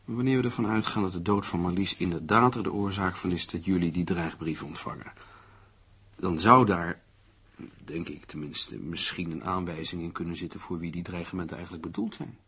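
A wry, husky-voiced sceptic. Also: male voice, sits low, steady tone, fairly quick, a bit bright, heavily acted. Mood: wry, pondering. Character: worried, sardonic man lecturing an amateur sleuth. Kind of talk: radio play acting.

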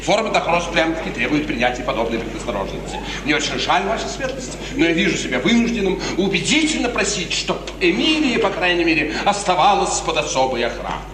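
Scheming male courtier for a vintage radio tragedy: highish, swooping voice, falsely solicitous, formal and insinuating; noisy old recording. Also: big pitch swings, quick pace, hardly any pauses, a crowd in the background. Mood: coolly menacing. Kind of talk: 1950s radio theatre acting. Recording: noisy 1950s radio recording, echoing hall.

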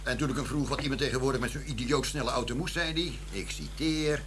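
Man acting dry sardonic investigator, radio drama, precise, middle pitch, faint humming background. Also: fast, fairly bright tone, lightly acted. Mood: dry, assertive. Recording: background noise and hum.

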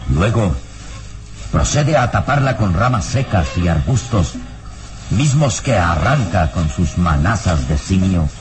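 Ominous, dramatic radio narrator. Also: male voice, low voice, sing-song, a little slow. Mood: ominous, suspenseful. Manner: suspenseful, declamatory. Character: solemn, ominous male radio serial narrator. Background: dramatic music.

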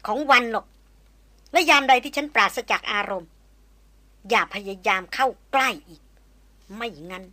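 A woman voicing a cool, sharp-tongued Western woman adventurer who speaks in a clipped, formal way. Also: on the high side, expressive, fairly quick, long pauses, no echo. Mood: icy.

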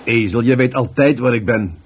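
A male actor playing a bossy, sardonic police adjutant, gruff and deadpan, in a radio play. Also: mid-range voice, normal speed, natural voice. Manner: gruff, deadpan, pompous with subordinates.

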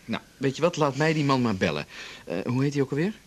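An actor playing a probing, practical and slightly sardonic husband in a radio drama, sometimes halting. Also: male voice, mid-range voice, sing-song, breathy edge. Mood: brisk, sardonic.

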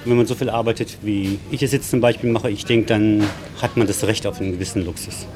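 Low-voiced man chatting matter-of-factly. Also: fairly even intonation, quick pace, over music. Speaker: market stall trader. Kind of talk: casual interview talk.

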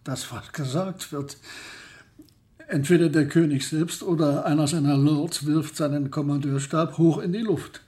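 A man's voice: a little high, measured pace, mellow. A man in the role of an expert, giving explanatory commentary in a radio drama.

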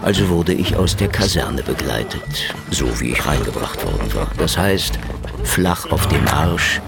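An actor playing a sardonic, weary and menacing male private detective in a noir radio drama, with drawling dark wit. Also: a bass voice, animated, measured pace, creaky, exaggerated voice. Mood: sardonic, world-weary. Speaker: lead actor and narrator in radio play. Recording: music under the voice.

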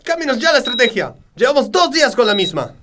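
An Argentine actor playing a dim, food-obsessed detective's sidekick in a comedic radio play. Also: male voice, very high voice, sing-song, fast talker, music in the background. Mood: alarmed.

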